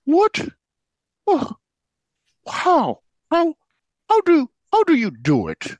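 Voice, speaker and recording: A man's voice: very high voice, huge pitch swings, takes it slowly, a little mellow, no echo, highs cut off, exaggerated voice. A man playing a grudging, put-upon playboy Corgi in an audio play, delivered theatrically. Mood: flustered.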